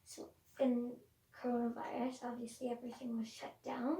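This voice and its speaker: Even-toned, higher-pitched woman. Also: moderate pace. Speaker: violin student. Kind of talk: casual interview answer. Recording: roomy, distant microphone.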